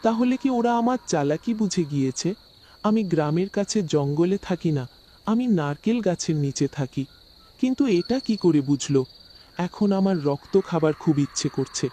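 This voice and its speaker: A man's voice: high voice, expressive, normal speed, mellow, slight background noise. A man voicing a scheming, bloodthirsty witch in a horror cartoon, brazen and threatening. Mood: scheming, hungry. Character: bloodthirsty scheming witch haunting a coconut tree, menacing. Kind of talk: Bengali horror cartoon voice acting.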